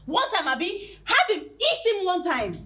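A fed-up nigerian girlfriend, scolding, high husky voice, really animated, drama style. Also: moderate pace. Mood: furious. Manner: insistent, scolding, repetitive. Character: jealous, indignant young girlfriend, short-tempered and demanding. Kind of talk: naturalistic screen drama acting.

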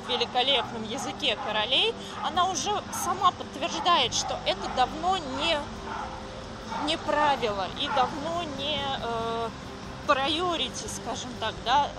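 A woman's voice: sits high, expressive, measured pace. A young woman chatting informatively and casually while walking outdoors along city streets. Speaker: language school representative. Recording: noisy outdoor street sound. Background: street ambience.

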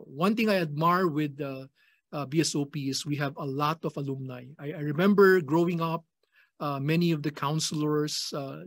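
A man's voice: fairly high pitch, no echo. A middle-aged man with a Filipino accent giving an earnest chapel sermon, repeating key phrases for emphasis. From Philippines. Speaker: pastor. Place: indoor chapel at a lectern. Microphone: stand mic in front of the mouth.

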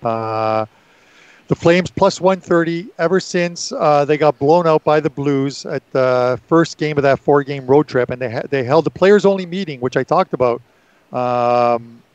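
A man in his seventies with a headset, talking hockey bets in a casual chat, pausing often with fillers. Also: on the high side, smooth, no room sound. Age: 70s. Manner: hesitant, many fillers, chuckling. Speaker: co-host. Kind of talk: casual sports betting chat.

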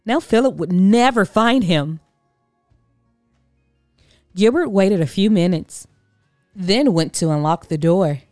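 A woman narrating a children's storybook in an expressive read-aloud style. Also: lowish voice, no echo, studio quality.

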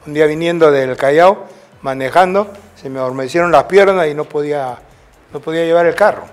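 A man's voice: fairly high pitch, fairly quick. Older Peruvian man telling old stories. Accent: Peruvian Spanish. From Peru. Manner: rambling anecdotal storytelling with tag questions. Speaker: former football coach, guest. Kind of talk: storytelling interview.

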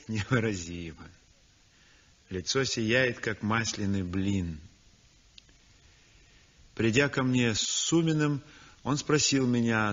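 A frail young painter recovering from illness, his voice breathy and soft, words drawn out slowly. It comes through a dull, lo-fi old radio recording with a long echoing reverb and faint music beneath. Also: medium pitch, exaggerated voice. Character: weak convalescent young male painter, dreamy. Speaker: Ryabinin, the painter narrating. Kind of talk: 1960s radio drama acting.

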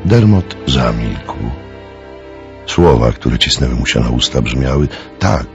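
Very deep gravelly male narrator. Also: animated, slow pace. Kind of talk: dramatic scripted audio drama narration. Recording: slightly muffled, music underneath. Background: music.

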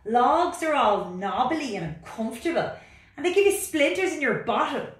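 A woman's voice: high-pitched, expressive, moderate pace, voice pushed up. A woman voicing a reluctant, curious little picture-book frog with a pleading, questioning manner. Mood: complaining. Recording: roomy, distant microphone.

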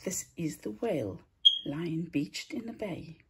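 A woman reading a rhyming children's picture book aloud in a theatrical, deliberate way, stretching words and hushing the ends of lines. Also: fairly low pitch, lively intonation, very noisy. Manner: theatrical, deliberate storytelling with drawn-out words and breathy hushed line endings. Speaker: storybook reader, teacher.

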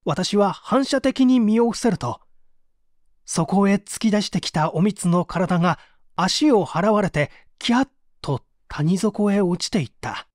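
An actor playing a weary, fatalistic murder suspect giving a dramatic confession in a mystery audio drama. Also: male voice, sits high, animated, measured pace, no echo, clean studio sound. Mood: haunted. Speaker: voice actor reading a story.

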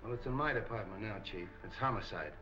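A middle-aged American actor playing a gruff, curt, hard-boiled police captain in a 1940s film. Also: male voice, lowish voice, quick pace, music in the background, close to an everyday voice. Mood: grim, determined. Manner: curt, brusque. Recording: dull, band-limited 1940s film soundtrack.

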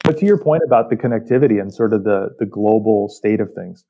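A man speaking as an expert podcast guest, explaining industrial work in a measured, technical way. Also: on the low side, narrow, tinny audio. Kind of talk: explanatory interview talk about industry.

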